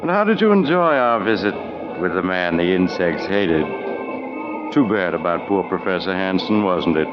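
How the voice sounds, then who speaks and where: A man's voice: low voice, expressive, moderate pace. A man playing a sly, mysterious traveler who hosts an old-time radio mystery with theatrical mock sympathy. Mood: sly, ominous. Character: sly, macabre mysterious male traveler on a train. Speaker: radio host narrator. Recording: muffled old radio, music behind.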